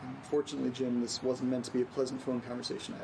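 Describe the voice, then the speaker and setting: An actor playing an anxious, earnest man in a naturalistic audio drama, speaking in a hesitant, halting way. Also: mid-range voice, little pitch movement, gravelly, background noise, close to an everyday voice. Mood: uneasy, pressing. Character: anxious, earnest man recording strange events at home. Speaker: lead character of a horror audio drama.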